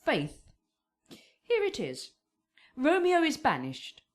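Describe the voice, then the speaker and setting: An earthy, practical nursemaid in Shakespearean radio drama. Her high voice swings hugely in pitch. She speaks slowly, with theatrical emphasis. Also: huge pitch swings, heavily acted. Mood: pragmatic, coaxing. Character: earthy, pragmatic female nurse to a noble girl.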